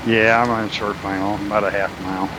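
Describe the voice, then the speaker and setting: Deep-voiced older man on voice chat. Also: level tone, brisk, background noise. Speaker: flight sim pilot. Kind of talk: casual chat on voice channel.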